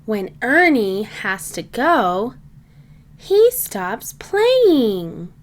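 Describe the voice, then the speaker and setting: Slow, lilting woman reading to children. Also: a little high, huge pitch swings. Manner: simple, deliberate phrasing for young children. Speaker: children's book reader. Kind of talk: read-aloud children's storybook narration.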